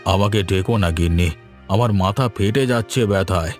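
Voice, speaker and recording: A man's voice: deep voice, moderate pace, a little mellow, soft background music, close to an everyday voice. A man voicing a sick, feeble elderly father-in-law in a cartoon, sounding feverish and ailing. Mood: weary, pained. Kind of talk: Bengali moral-tale cartoon dubbing.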